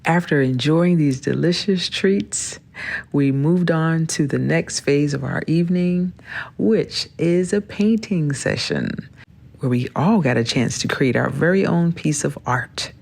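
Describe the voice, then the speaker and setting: A woman's voice: sits low, animated, measured pace, gravelly, a little mellow. A woman hosting a travel vlog, narrating and interviewing in an effusive, enthusiastic way. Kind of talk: voice-over narration and casual interview.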